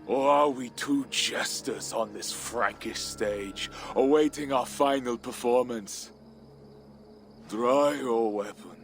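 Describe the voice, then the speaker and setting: An actor playing a grief-worn, fatalistic Viking war chief in a video game, speaking gravely and theatrically in archaic phrases. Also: male voice, medium pitch, takes it slowly, faint music behind. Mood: bitter, challenging. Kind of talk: dramatic video game acting.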